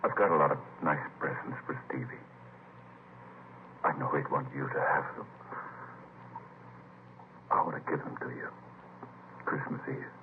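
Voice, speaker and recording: An actor playing a grief-stricken father in a 1950s radio drama, halting and emotional. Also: very deep voice, noisy background. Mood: subdued, sorrowful. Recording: tinny vintage radio, loud music.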